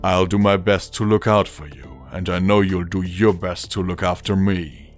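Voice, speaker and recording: Man playing a low-voiced, calm father pony. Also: faint music behind, natural voice. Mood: gentle, serious. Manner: measured, quiet, gentle.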